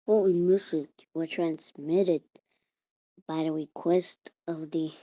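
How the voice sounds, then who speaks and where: A woman reading out an improvised mock emergency alert in a halting way, repeating and restarting her words. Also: low voice, steady tone. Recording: muffled, roomy home recording.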